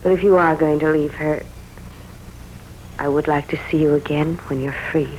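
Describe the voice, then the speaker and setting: An actress playing a hesitant, principled former sweetheart in a 1940s radio drama, speaking earnestly and haltingly. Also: female voice, low-pitched, steady tone, a little mellow, close to an everyday voice, rough recording. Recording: hissy 1940s radio recording.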